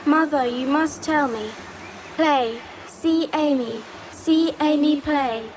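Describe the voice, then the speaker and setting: Dreamy little girl in a virtual world, high slow singsong, noisy ambience behind. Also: a little mellow. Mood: entranced pleading. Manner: lyrical, sing-song, incantatory short phrases. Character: dreamy young girl in virtual reality, eerily happy. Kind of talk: scripted drama acting.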